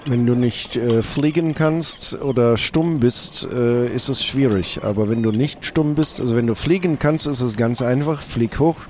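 A man of medium pitch improvises story and dialogue in a pirate role-play. His delivery is casual and halting, with faint noise in the background. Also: a little mellow.

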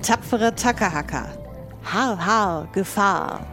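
A woman voicing a stern, theatrical pirate captain who speaks in deliberate proclamations. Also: mid-range voice, music in the background. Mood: hearty.

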